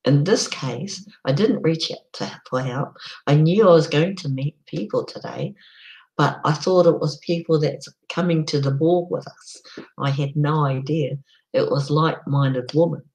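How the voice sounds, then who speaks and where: A middle-aged woman talks slowly and casually on her own live stream, her voice croaky. She is chatty and unhurried, often pausing on an um before carrying on. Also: low voice, darkish tone, rough recording. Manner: slow, chatty, frequent ums.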